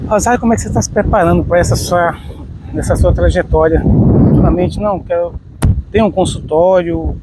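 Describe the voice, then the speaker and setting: A man's voice: high-pitched, a little fast, very noisy. A middle-aged Brazilian man hosting a podcast, chatting casually and rambling a bit as he repeats himself. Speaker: podcast host. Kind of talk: casual interview chat.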